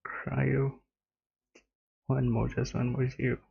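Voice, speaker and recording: A man giving casual, low-key reaction commentary as he streams a game, muttering in short remarks. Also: flat delivery, takes it slowly, vocal fry, breathy edge, highs cut off. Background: game music.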